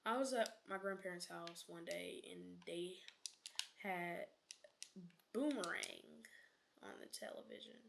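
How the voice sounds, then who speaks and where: A woman's voice: lowish voice, measured pace, creaky, long pauses, noisy background. A young woman streaming a game, chatting casually and rambling off on sidetracks, often correcting herself and dropping into whispered asides.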